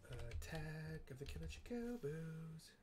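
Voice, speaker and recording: A man's voice: a little high, sing-song, takes it slowly, background noise. A man casually narrating a game and describing what happens on screen, in a playful way.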